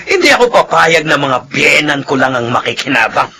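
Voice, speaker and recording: Piercing, gravelly boastful husband. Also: male voice, on the high side, very animated, moderate pace, sharp tone, exaggerated voice. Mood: boastful, gleeful. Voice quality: gravelly, raspy. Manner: swaggering, boastful. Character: boastful, selfish, no-good boozing husband. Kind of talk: radio drama acting.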